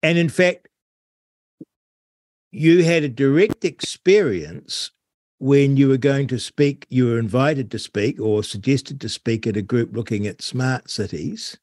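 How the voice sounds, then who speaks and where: A man hosts an interview in a relaxed, wry manner. He draws out words for emphasis, his voice sitting at a middle pitch. The sound is close and dry. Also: measured pace, no echo. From New Zealand. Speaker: host. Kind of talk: casual interview chat.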